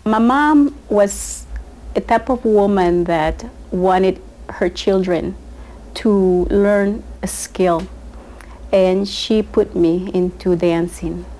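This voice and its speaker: A woman, answering interview questions in a gentle, unhurried way. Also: medium pitch, low-quality recording. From Panama. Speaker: interviewee, dancer.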